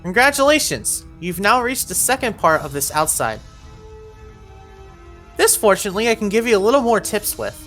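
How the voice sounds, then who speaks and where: A woman's voice: mid-range voice, very animated, moderate pace, fairly bright tone, faint music behind. A woman narrating an instructional video game walkthrough, giving encouraging step-by-step directions.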